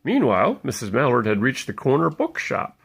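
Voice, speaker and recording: A man narrates a storybook for small children in a playful way. His voice is husky and gravelly, with lively swings in pitch as he pauses to point things out. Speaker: parent reading a bedtime story aloud.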